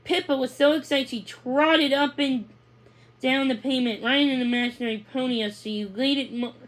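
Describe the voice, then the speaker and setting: A woman narrating a children's book aloud, reading haltingly with stumbles and repeated words. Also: high-pitched, fairly even intonation.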